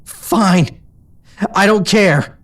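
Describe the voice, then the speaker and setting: A man's voice: sits high, drawn-out words, fairly bright tone, voice pushed up, exaggerated voice. A man voicing a young, fierce pegasus mare in a storybook, her voice choked and stubborn. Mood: defiant. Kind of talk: storybook reading.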